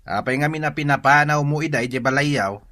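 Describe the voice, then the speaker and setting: An actor playing a gruff, scolding rural family man in a radio drama, with an Ilocano accent. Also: on the high side, brisk, pushed and bright. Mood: reproachful.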